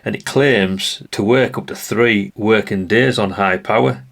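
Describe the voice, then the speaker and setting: British guy, lowish voice, chatty rambling show and tell, keeps adding little tag questions. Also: measured pace, gravelly. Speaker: presenter of a vintage radio channel. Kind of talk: casual hands-on product walkthrough.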